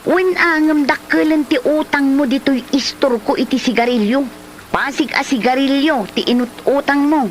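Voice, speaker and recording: A woman's voice: high voice, moderate pace, slight background noise, natural voice, low-quality recording. A woman playing a nagging, no-nonsense village storekeeper in a comedy drama, scolding in a Filipino accent. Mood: scolding, exasperated. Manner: scolding, challenging. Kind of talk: Ilocano comedy drama acting.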